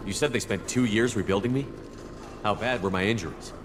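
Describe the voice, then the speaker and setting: A man's voice: fairly low pitch, fairly quick, close to an everyday voice. A man playing a terse, direct military commander in a video game. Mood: probing. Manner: direct, clipped questioning. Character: terse, questioning male military commander seeking answers. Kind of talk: video game character acting. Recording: noisy battle ambience behind.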